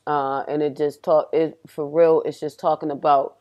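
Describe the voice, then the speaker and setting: A young adult woman, a rapper guest, from Pennsylvania and raised in Texas, talking casually and acting out what people said. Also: normal speed. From Pennsylvania, grew up in Houston, Texas. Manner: animated storytelling, acts out quotes with rising pitch. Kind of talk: casual podcast conversation.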